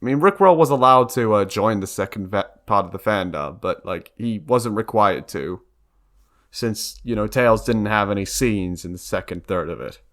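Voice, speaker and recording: A man's voice: fairly low pitch, lively intonation, normal speed, a bit bright. A young man giving casual, rambling behind-the-scenes commentary for a video, prone to giggling. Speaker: video creator and fan dub member.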